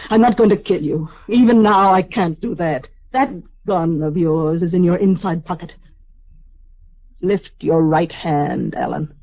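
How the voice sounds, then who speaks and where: A woman's voice: lowish voice, unhurried, no echo. An actress playing an anguished, resolute telepathic woman confronting her lover in a vintage American radio drama. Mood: grim command. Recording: dull, band-limited old radio recording.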